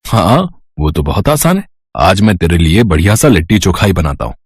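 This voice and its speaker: A man voicing a poor, humble village husband, worried yet reassuring, in an animated storybook tale. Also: low-pitched, brisk, slightly rough, no echo, clean studio sound, voice pushed down, full character voice. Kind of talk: animated storybook cartoon dialogue.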